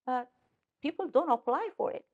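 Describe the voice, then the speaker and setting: A woman's voice: high voice, expressive, normal speed, darkish tone, muffled recording, a little hiss or hum. A middle-aged woman with a Korean accent, a native Korean speaker, answering interview questions as a political candidate with frequent restarts and fillers.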